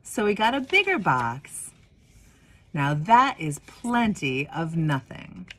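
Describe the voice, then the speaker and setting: A woman in her forties reading a children's story expressively, with deliberate pauses and emphasis. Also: lowish voice, sing-song, fairly bright tone. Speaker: minister of religious education reading a picture book.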